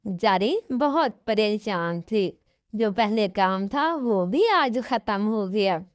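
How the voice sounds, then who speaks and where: A woman's voice: mid-range voice, animated, slow, no room sound, studio quality. A woman narrating a children's cartoon story in a sympathetic, informal storytelling manner.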